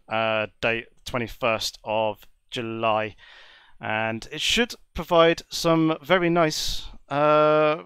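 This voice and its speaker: A man with a slight cold talks through a sim racing session in a measured, courteous commentary style. His voice stays smooth and clear despite the congestion. The sound is close and dry, with no room around it. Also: mid-range voice, a bit bright, no echo. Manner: measured, wordy, polite. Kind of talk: live esports race commentary.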